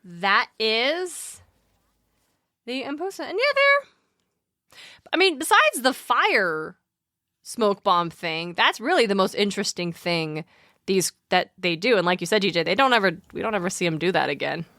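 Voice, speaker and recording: A woman's voice: medium pitch, big pitch swings, moderate pace, sharp tone, no room sound, clean studio sound. A woman co-hosting a podcast, chatting casually and informally about mythology as she thinks aloud. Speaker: podcast co-host.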